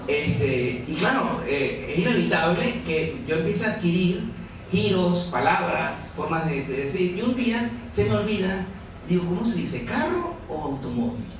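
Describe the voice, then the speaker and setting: A man's voice: sits high, big pitch swings, normal speed, a little hiss or hum. A Venezuelan novelist in his forties, talking to an audience in a chatty, self-mocking way and laughing often. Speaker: novelist presenting his book. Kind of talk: conversational talk to an audience. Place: busy café with an audience. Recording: echoey café room, mic far off, crowd chatter.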